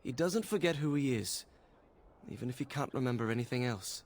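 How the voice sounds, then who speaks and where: A man's voice: medium pitch, animated, lightly acted. Solemn male temple guard played by an actor. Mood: grave. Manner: formal, measured, grave.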